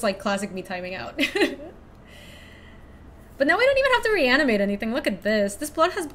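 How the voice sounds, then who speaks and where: A young woman from Ecuador chatting casually through a card game stream, thinking out loud and laughing often. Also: sits high. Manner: chatty, giggly, thinks aloud to chat. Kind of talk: casual live-stream commentary.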